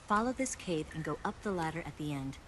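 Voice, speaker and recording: A woman's voice: low-pitched, expressive, quick pace, a bit bright, slight background noise. An actress voicing a confident, flirtatious female spy in a video game cutscene, teasing and breezy. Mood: briefing. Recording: faint music under the dialogue.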